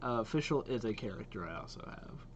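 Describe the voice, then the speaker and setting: A man's voice: on the low side, animated, normal speed, a little hiss or hum. A man hosting a casual reaction vlog, talking informally and enthusiastically, with some hesitation. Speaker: video host reacting to game trailers.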